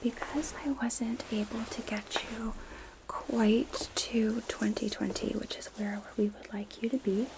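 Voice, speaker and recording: A woman whispering softly in an ASMR roleplay as an optometrist, giving gentle, unhurried step-by-step instructions close to the microphone. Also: fairly high pitch, level tone, very noisy. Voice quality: soft, breathy whisper. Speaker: ASMR performer playing an optometrist. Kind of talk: ASMR roleplay of an eye exam. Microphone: close microphones on stands with reflection shields.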